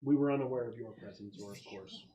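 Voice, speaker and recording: A man plays a spaceship's android crewman in a tabletop game. The android is calm and compliant, with measured, formal phrasing and a middling pitch. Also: a little hiss or hum, lightly acted.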